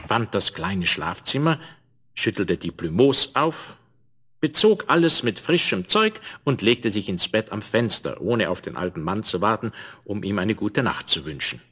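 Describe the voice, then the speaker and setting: A man's voice: on the low side, sing-song, measured pace, sharp tone, breathy edge. A man narrating a scripted fairy tale in the manner of a 1970s children's audio play. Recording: noisy vinyl rip with clicks.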